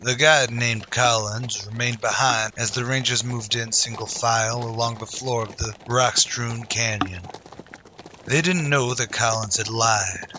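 A man's voice: mid-range voice, fairly even intonation, unhurried, pushed and bright. Dramatic old-time radio western narrator, male. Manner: solemn, grandiose. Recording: faint background noise, ambience.

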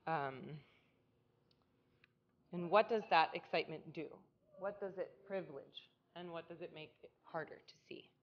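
A woman's voice: on the low side, moderate pace, long pauses, highs cut off. Conversational woman giving a lecture. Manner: conversational, often checks in with "right?"; self-described loud voice. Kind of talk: public lecture. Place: lecture hall with audience.

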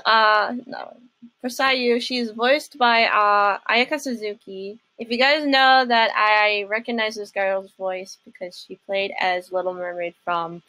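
A young adult woman chatting casually and haltingly as she hosts a reaction video about games. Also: on the high side, fairly bright tone, no echo, rough recording.

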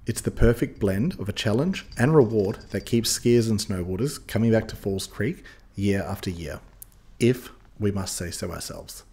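A man's voice: lowish voice, moderate pace, dark tone. A man giving upbeat scripted voice-over narration, guiding listeners step by step like a ski guide.

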